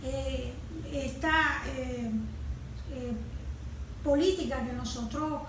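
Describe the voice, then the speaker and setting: Venezuelan woman, high voice, interview guest. Emphatic, halting delivery in a noisy room. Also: fairly even intonation. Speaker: guest, environmental advocate. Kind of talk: radio interview, explaining proposals. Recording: very echoey room, clear background noise.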